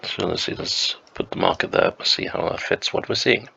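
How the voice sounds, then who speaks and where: A man with a flu, sounding croaky and sniffly, thinking aloud slowly and hesitantly as he plays a map game at home. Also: sits low, wildly swooping pitch, bright, forceful tone, highs cut off. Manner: slow, musing, hesitant with many ums. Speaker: game streamer. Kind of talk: thinking-aloud gameplay commentary.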